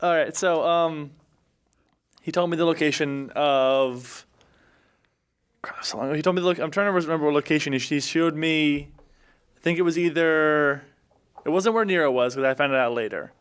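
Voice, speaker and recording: A man casually recapping a game adventure as a podcast player, often halting as he searches his memory. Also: on the high side, clear, resonant tone.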